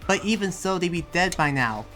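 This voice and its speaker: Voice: medium pitch, lively intonation, a little fast, a bit bright, soft background music, natural voice. A performer playing a defensive, insistent town protector who argues for trusting demons in an improvised anime roleplay. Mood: exasperated, pleading.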